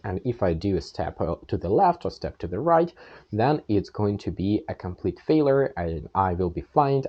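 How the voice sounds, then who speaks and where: A man's voice: lowish voice, warm tone, highs cut off. A young man from Ukraine with a Ukrainian accent, earnestly recounting his own past in a plain-spoken monologue. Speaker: channel host. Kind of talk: personal storytelling monologue.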